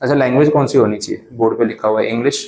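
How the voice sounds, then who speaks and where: A man lecturing a class in an Indian accent, mixing in English, explaining with everyday asides and reading legal text slowly. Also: mid-range voice, steady tone, a little mellow. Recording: echoey classroom.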